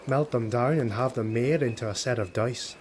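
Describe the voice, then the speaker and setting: Tough dark elf woman, a ship's first mate, read by a man: shrewd, dry, brisk, businesslike fantasy character. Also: medium pitch, a little hiss or hum, lightly acted. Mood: dry amusement.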